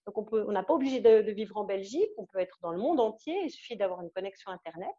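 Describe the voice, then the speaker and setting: A woman from Switzerland with a Swiss French accent, giving an enthusiastic, halting testimonial full of fillers and restarts. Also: medium pitch, expressive, dark tone, slightly rough, highs cut off. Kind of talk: casual testimonial interview.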